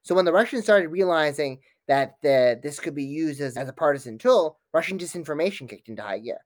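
A man's voice: fairly high pitch, expressive, brisk, no echo, clean studio sound. A man speaking as an expert interview guest, giving analytical commentary and often tripping over and correcting his words.

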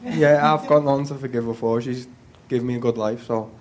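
A teenage boy from Liverpool with a Liverpool accent, giving quiet, brief answers as a visitor. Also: medium pitch, fairly even intonation, fairly quick. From Liverpool, England. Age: teens.

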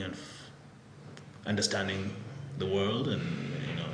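A middle-aged man with a Kenyan accent telling his story casually as a podcast guest. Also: lowish voice. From Nairobi, Kenya. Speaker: podcast guest, tech product executive. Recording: echoey room with faint background noise.

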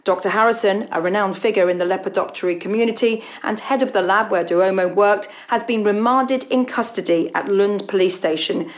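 An actress playing a serious news reporter, reading a formal scripted bulletin in an audio drama. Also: female voice, mid-range voice, a little fast, hardly any pauses, close to an everyday voice. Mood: formal, grave.

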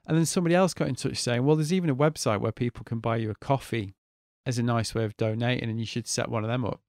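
A man's voice: mid-range voice, quick pace, darkish tone, dry recording, studio quality. Chatty man in his forties. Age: 40s. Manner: chatty, candid, swears freely. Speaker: podcast host. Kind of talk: casual solo podcast monologue.

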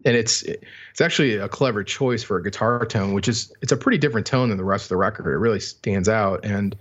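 A man's voice: lowish voice, brisk, husky. A man talking casually about music as a podcast host, on a slightly dull, lo-fi recording.

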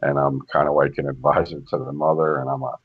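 An older man with a deep voice, a podcast guest telling stories slowly and with many restarts. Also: little pitch movement, husky. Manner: slow, measured storytelling with frequent restarts. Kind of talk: casual podcast conversation. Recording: dull lo-fi audio.

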